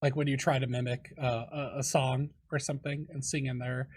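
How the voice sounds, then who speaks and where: A man hosting a casual podcast interview, easygoing, with a lower voice and quick affirmations. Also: flat delivery, a little mellow, husky. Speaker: podcast host.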